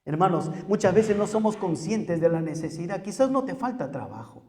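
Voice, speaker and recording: Peruvian guy preaching in church, pretty high voice, repeats stuff for emphasis, big reverby hall. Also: big pitch swings, moderate pace, dark tone, a little hiss or hum. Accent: Peruvian Spanish. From Peru. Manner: emphatic repetition and rhetorical questions to the congregation. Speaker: pastor. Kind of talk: sermon.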